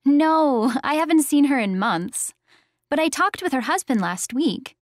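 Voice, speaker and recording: Friendly woman, played by an actress for language-course dialogue: high voice, very animated, dry studio sound. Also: a little slow, no room sound. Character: friendly woman chatting with an acquaintance. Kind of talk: scripted textbook dialogue.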